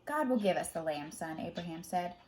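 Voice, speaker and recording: A woman reading a children's Bible story aloud as a storyteller, dramatic in delivery, moving between emphatic and hushed phrasing. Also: on the low side, lively intonation, fairly quick.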